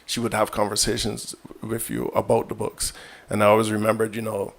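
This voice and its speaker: Gravelly low-voiced man, measured. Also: monotone. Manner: measured, many fillers and restarts. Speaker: physician guest. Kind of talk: reflective interview answers.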